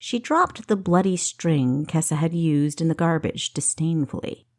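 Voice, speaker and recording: A woman's voice: sits low, animated, unhurried. A woman reading scripted fiction as an audiobook narrator.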